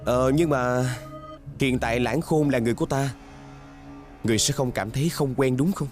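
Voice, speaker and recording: A man voices a smooth-tongued young nobleman from an ancient costume drama. His mid-pitched voice is courtly and formal, with scheming insinuation under the politeness. Light music plays behind him. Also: normal speed, darkish tone, lightly acted. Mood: smugly courteous. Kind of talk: Vietnamese dubbing of costume drama.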